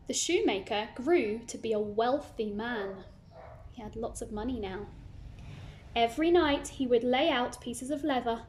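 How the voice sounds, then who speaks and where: A woman's voice: fairly high pitch, darkish tone, slight background noise. A woman reading a children's story aloud, narrating expressively and adding simple asides for young listeners.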